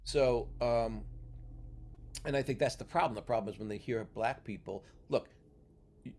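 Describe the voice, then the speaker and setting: A man's voice: medium pitch, moderate pace, darkish tone, a little hiss or hum. A man from the US Northeast talking as a mentor in a casual podcast chat, explaining at length and checking in with "okay?" after his points. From New York, US Northeast. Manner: lecturing, tags points with "okay?".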